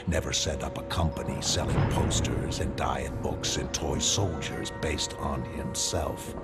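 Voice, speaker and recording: A man acting as a gruff, morally rigid masked vigilante who speaks in terse, clipped, formally polite sentences. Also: extremely low pitch, heavily acted. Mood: indignant, stern. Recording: loud music behind the voice.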